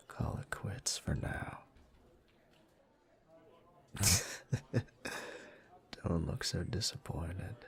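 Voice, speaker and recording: A man playing a teasing, dominant bully turned seductive lover in an intimate whispered roleplay audio, murmuring in a slow, smug, breathy way. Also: low-pitched, full character voice.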